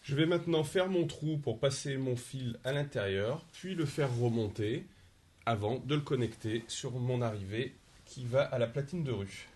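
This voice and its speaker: A man's voice: mid-range voice, moderate pace, darkish tone. Methodical man explaining DIY steps. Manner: methodical, explains each step in first person. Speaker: DIY instructor. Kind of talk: step-by-step how-to narration.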